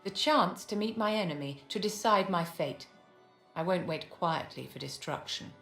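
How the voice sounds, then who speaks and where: An actress playing a poised, aristocratic mage in a video game, speaking in a measured, courtly and haughty manner. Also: female voice, on the low side, expressive, a bit bright. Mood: poised, assured. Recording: reverberant hall with music underneath.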